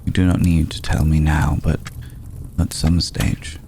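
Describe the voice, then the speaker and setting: Man as protective old vampire noble, dark mellow tone, unhurried, formal, hushed ASMR roleplay, faint background noise. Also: a bass voice, level tone, gravelly, exaggerated voice. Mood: gentle, earnest. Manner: formal, measured, deliberate pauses. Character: ancient, courtly, protective male vampire lord. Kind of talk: ASMR roleplay, slow intimate scripted monologue.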